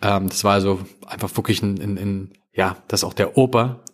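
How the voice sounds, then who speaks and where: A man's voice: fairly low pitch, fairly even intonation. A man talking casually in an interview about his coworking business, with frequent fillers and restarts. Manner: quick, with many fillers and restarts. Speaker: coworking company executive.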